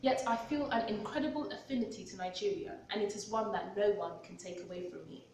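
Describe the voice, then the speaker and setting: A woman's voice: mid-range voice, a little fast, slight background noise. Young London woman reading in echoey hall. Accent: London English. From London, England. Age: 20s. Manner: expressive reading with acted-out dialogue. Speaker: author and vlogger. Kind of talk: reading a personal essay aloud. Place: large echoey cultural hall. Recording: very echoey hall, distant mic.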